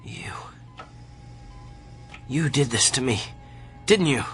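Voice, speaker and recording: An actor in a radio drama playing a despairing male doctor in pain, halting, anguished and pleading. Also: medium pitch, expressive, a bit bright, soft background music. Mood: accusing, weak. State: in pain, crying out. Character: agonized, despairing male doctor begging for mercy.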